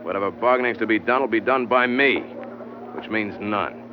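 A man's voice: lowish voice, moderate pace, close to an everyday voice. An actor playing a surly, belligerent man in an old radio drama, touchy, curt and threatening. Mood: menacing. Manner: curt, combative. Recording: muffled, noisy old radio recording.

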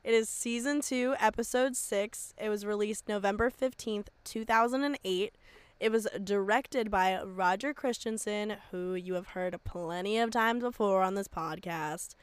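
A young woman co-hosting a casual podcast, hoarse from a sore throat, joking and laughing often. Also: medium pitch, unhurried, no echo. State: hoarse, losing voice after strep throat. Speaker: podcast co-host.

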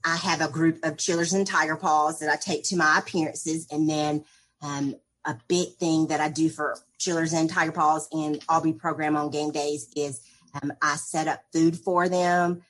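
A low-pitched woman talks through her game-day work. She sounds chatty and upbeat, with lots of easygoing fillers, like a spirit coordinator in a casual interview. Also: steady tone, normal speed, fairly bright tone.

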